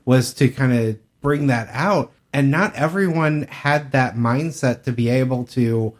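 A man's voice: medium pitch, a little slow. A man co-hosting a podcast in casual, conversational discussion. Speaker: podcast co-host.

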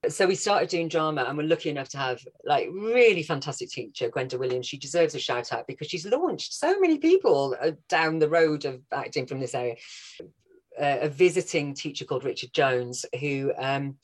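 A woman's voice: deep voice, lively intonation, a little fast. A woman from North Wales with a Scouse-tinged accent, chatting as a guest actress, enthusiastic and laughing often. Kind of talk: casual interview chat.

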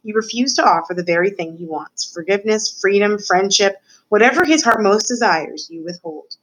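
A woman's voice: mid-range voice, lively intonation, moderate pace, a bit bright, low-quality recording. A woman voicing a cynical, cruel older male rat who lectures theatrically in a storybook. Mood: sly, lecturing. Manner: theatrical, lecturing, emphatic with repetitions.

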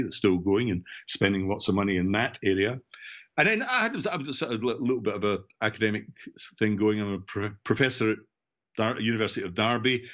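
Older Scottish male podcast guest, discursive conversational delivery, fairly low voice, somewhat dull recording. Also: a bit bright. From central Scotland. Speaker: podcast guest, education technology executive. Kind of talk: conversational interview answers, recounting career.

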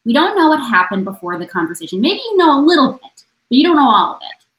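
A woman giving reflective panel remarks as a scholar, in a measured, earnest and deliberate way. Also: high voice, very animated, fairly bright tone. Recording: roomy, echoing voice pickup.

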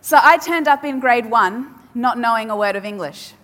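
A woman's voice: fairly high pitch, fairly bright tone. Woman preaching in an echoing hall. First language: Polish. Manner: emphatic, repeats key phrases with dramatic pauses. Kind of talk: sermon. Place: large hall with audience. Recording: long hall reverb.

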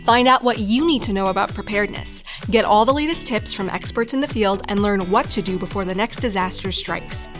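A woman's voice: mid-range voice, brisk, hardly any pauses. A woman announcer reading a scripted podcast introduction. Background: music.

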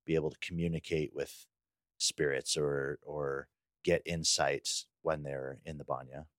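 A man with a very deep voice chats casually as a podcast co-host. The recording is close and dry, with hardly any room sound around him. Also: flat delivery, unhurried, fairly bright tone, no room sound. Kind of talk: casual conversational chat.

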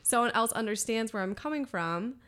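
A woman hosting a podcast from the United States, chatting casually, animated and emphatic, laughing often. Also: a little high, a little fast, clean tone. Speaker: podcast host. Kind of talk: casual conversation.

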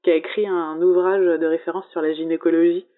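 A woman in casual conversation, telling things in a relaxed, wry way. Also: fairly low pitch, fairly quick, narrow, tinny audio. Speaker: podcast co-host.

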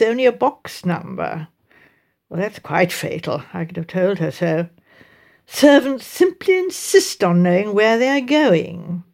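A woman's voice: mid-range voice, a little mellow. Woman narrator playing genteel village mother, middle-aged, upper-middle-class English accent, fussy, rambling, opinionated, audiobook character.

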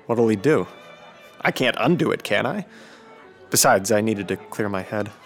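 A man's voice: lowish voice, expressive, normal speed, soft background music. A man acting in a radio drama as a frustrated, bitter teenage son with a cynical, sardonic manner. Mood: defiant. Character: bitter, frustrated teenage son, high school senior age.

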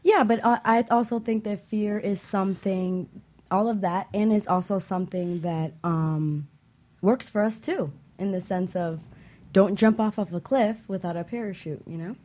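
A woman's voice: mid-range voice, moderate pace, mellow. Cheerful woman co-hosting a radio show. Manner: cheerful, laughs easily. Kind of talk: casual radio talk show chat. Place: radio studio.

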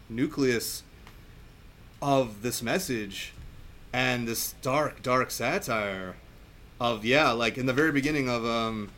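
A man talking casually and enthusiastically in a film discussion, in a halting, rambling way. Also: medium pitch, fairly bright tone, a little hiss or hum. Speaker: podcast panelist.

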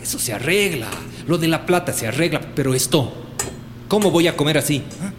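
A man's voice: fairly high pitch, lively intonation, brisk, natural voice. Bossy, irritable Bolivian crime-boss butcher played by a man. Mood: irritated, cursing. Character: foul-mouthed crime-boss butcher posing as civilized businessman. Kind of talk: radio drama acting. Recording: reverberant hall sound with background noise.

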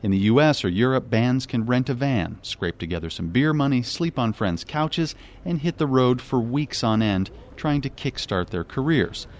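A man narrating a radio news report in a measured, scripted delivery. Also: mid-range voice, expressive.

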